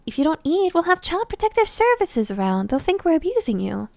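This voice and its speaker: A woman's voice: extremely high pitch, sing-song, fairly quick, vocal fry, darkish tone, dry recording, voice pushed up, full character voice. A woman voicing a tired, worried mother who pleads with exasperation. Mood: exasperated.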